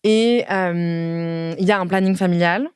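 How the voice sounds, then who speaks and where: Woman, late twenties, lowish voice, smooth clear tone, hesitant and thoughtful, dry close sound. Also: a little mellow, no echo. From Ardèche, France. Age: late 20s. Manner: thoughtful, hesitant, many pauses and fillers. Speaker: guest, feminist speaker and lawyer. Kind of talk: casual podcast conversation.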